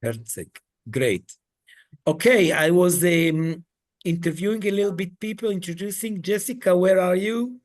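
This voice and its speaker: Man, coach, slow deliberate pace, slightly high voice, video call. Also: darkish tone. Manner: slow, halting, non-native phrasing. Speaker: coach leading a webinar. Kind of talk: online webinar demonstration.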